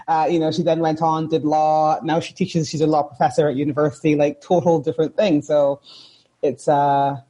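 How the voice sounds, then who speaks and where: A woman in her thirties from Barbados, a podcast guest talking in a thoughtful, unhurried way. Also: low-pitched, little pitch movement, warm tone, breathy edge. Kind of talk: casual conversation.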